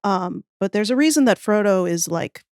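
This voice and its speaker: A woman's voice: medium pitch, expressive, normal speed, darkish tone, clear, resonant tone, no echo, studio quality. A woman in her thirties chatting as a podcast guest in a thoughtful, wry, nerdily enthusiastic way. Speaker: podcast guest, audio fiction writer. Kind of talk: casual interview conversation.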